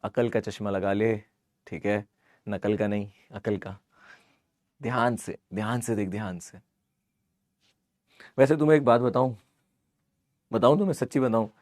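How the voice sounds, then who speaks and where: A man with a hoarse voice teaches an informal live online maths class, teasing and scolding his students and repeating phrases for emphasis. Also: lowish voice, a little slow, pauses often, a little mellow, no echo, clean studio sound. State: hoarse, sore throat.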